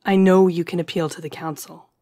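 A woman playing a guilt-ridden, stubborn young werewolf in an audio roleplay, speaking in a terse, weary, gruff way. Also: fairly low pitch, fairly even intonation, a little fast, dark tone, dry recording, studio quality, close to an everyday voice. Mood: tense, guilty. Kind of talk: dramatic audio roleplay acting.

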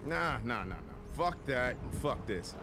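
A man's voice: mid-range voice, lively intonation, measured pace, noisy background. An actor playing an irritable, commanding male music mogul in a video game, curt, emphatic and sweary. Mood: irritated. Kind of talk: video game cutscene acting.

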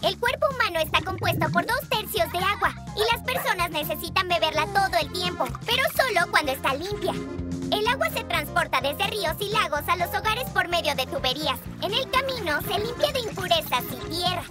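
A woman dubbing a clever, cheerful cartoon girl who coaxes and explains things clearly. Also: extremely high pitch, animated, fairly quick. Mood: explaining. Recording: music playing underneath.

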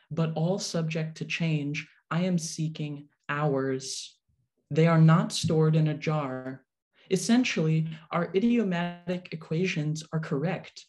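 A woman poet reading poetry in a measured, deliberate manner over a video call. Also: low voice, mellow. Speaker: poet reading own work. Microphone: video call microphone.

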